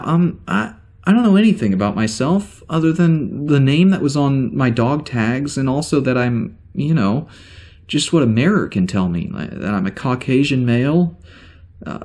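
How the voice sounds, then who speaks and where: Male actor as uneasy amnesiac ship's officer: medium pitch, hesitant, wry, rambling log entries for sci-fi audio drama. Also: darkish tone. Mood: bewildered, unsettled. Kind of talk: spoken log in science fiction audio drama.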